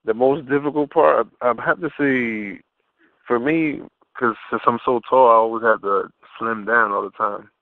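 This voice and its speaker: Man, mid-pitched voice, informal slangy talk, casual interview guest, muffled phone call. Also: moderate pace, no echo. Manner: informal, slangy, repeats and rephrases himself. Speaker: interview guest, fashion model. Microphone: telephone. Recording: muffled narrow phone line.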